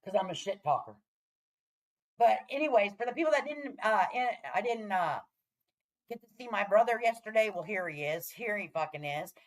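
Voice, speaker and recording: A woman's voice: mid-range voice, expressive, normal speed. A woman hosting a casual livestream, chatting to viewers in a brash, profane way. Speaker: livestream host.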